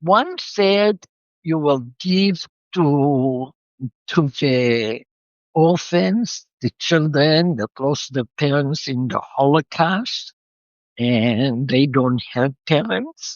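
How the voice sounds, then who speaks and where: Older woman guest, unhurried reflective storyteller, slow delivery, slightly dull dry sound. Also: rumbling low, animated, a little mellow, dry recording. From Israel. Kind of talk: interview storytelling.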